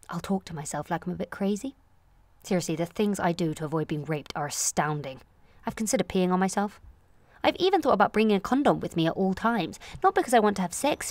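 A woman in her late twenties performing a confessional comic monologue in a candid, wry, self-questioning way, moving between confiding and animated. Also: fairly low pitch, no echo. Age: late 20s. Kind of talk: confessional comic audio monologue, present-tense narration.

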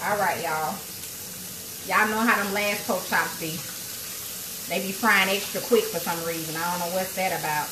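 A playful woman chats with her viewers, calling them y'all as she cooks. She speaks into a phone on a small tripod in her home kitchen. The sizzle of frying grease comes through clearly around her voice. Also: fairly low pitch, normal speed, long pauses, background noise. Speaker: cooking show host. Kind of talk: casual livestream cooking chat. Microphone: phone on a tripod, close to arm's length.

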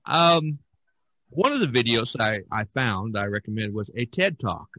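Bright-voiced man hosting a podcast. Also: medium pitch, very animated, unhurried, no room sound, poor audio. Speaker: podcast host. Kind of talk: casual podcast chat.

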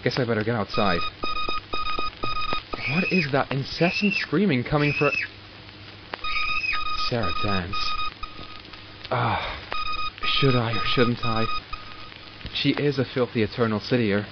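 A man's voice: medium pitch, animated, slow, pauses often. A man acting in an audio drama as an arrogant, exasperated doctor who studies supernatural captives, clinical and sardonic in manner. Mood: wry, hesitant. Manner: clinical, sardonic, self-talking. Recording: muffled, with loud background noise.

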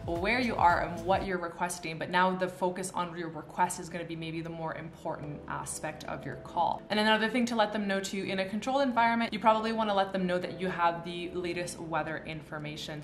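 Canadian woman, low-pitched, in an echoey room. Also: fairly quick, hardly any pauses, soft background music. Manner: chatty, informal, gestures while explaining. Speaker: pilot and video host. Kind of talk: casual educational explainer to camera. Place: small furnished room indoors. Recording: roomy, echoey indoor sound.

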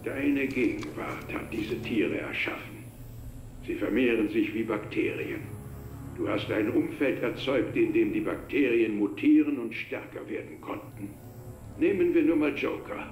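A gloating, menacing villain. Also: male voice, medium pitch, darkish tone. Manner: slow, gloating, mocking. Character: menacing male villain, taunting and cruel. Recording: echoey game audio with music.

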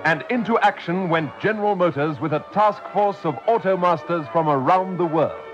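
A man's voice: sits high, normal speed. A man narrating a vintage radio car commercial as an announcer. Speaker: advert announcer. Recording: dull old radio recording. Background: music.

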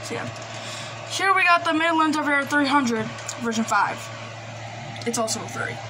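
A woman with a fairly high voice talks casually through a show-and-tell, hesitant and rambling. She is recorded on a handheld phone indoors, with clear noise behind her. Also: animated, normal speed. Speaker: collector presenting gadgets. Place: small indoor room at a desk. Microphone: handheld phone camera. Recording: noisy handheld recording, background hiss. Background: noise and radio devices.

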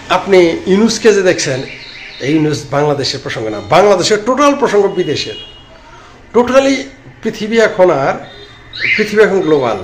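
A Bangladeshi man in his late fifties talks informally to live viewers through a phone, with clear background noise. His voice sits a little high. He rambles, circles back over phrases and needles with sarcasm as he comments. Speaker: live-stream political commentator. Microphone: phone at arm's length. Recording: clearly noisy phone recording.

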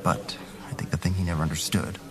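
A man's voice: low voice, flat delivery, fast, a crowd in the background, close to an everyday voice. An actor playing a wry, earnest city councilman who is wounded, in an audio drama. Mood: reflective. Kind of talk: full-cast audio drama acting.

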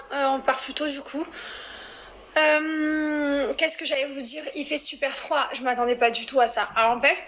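A woman talks to her followers in a loose, rambling way, speaking right at the viewer. Her voice sits a little high. She records on a phone held at arm's length in a small indoor room, with a faint hiss behind her. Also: fairly bright tone. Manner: chatty, rambling, addresses viewers directly. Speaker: lifestyle influencer. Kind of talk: casual selfie chat to followers.

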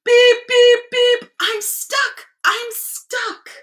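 A woman's voice: flat delivery, drawn-out words, full character voice. A woman voicing a friendly, eager little truck from a children's storybook, with beeping exclamations. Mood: distressed. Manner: high loud beeping exclamations.

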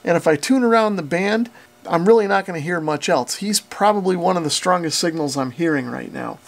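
An American man in his forties hosts a hands-on repair tutorial from his workshop. His voice sits a little higher than average and has a lot of fry in it. He explains each step plainly and methodically. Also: animated, brisk. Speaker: host of a radio repair channel. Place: small indoor workshop with concrete walls.

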